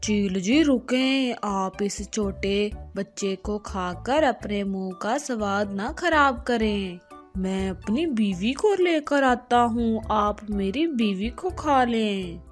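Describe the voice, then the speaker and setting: A woman's voice: mid-range voice, expressive, slow, faint music behind. A woman voicing a scheming male crow who wheedles and complains in a children's cartoon. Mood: wheedling.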